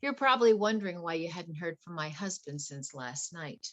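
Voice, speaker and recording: A woman's voice: fairly low pitch, expressive, moderate pace, fairly bright tone. A woman playing a betrayed wife turned coolly vengeful poisoner in a dramatic stage play reading. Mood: cold, accusing. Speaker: actor in a play workshop.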